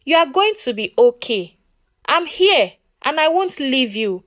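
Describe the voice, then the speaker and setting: A woman's voice: high-pitched, big pitch swings, a little slow, no echo. A Nigerian-accented woman voicing an anguished, devoted wife and mother in a folktale, pleading and tender. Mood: tender reassurance.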